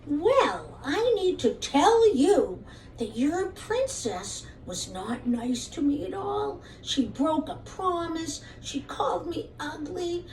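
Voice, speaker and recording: A woman's voice: very high-pitched, big pitch swings, slow, darkish tone, full character voice. A woman voicing an earnest, easily hurt young male frog in a children's puppet show. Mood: wounded. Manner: plaintive, earnest, simple childlike phrasing. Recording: distant mic with faint background noise.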